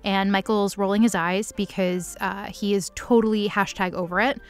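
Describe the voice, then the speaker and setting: A woman's voice: mid-range voice, fairly even intonation, normal speed. A woman giving a casual, improvised comedic recap of a story in sarcastic, valley-girl phrasing. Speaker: recap narrator. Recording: music under the voice.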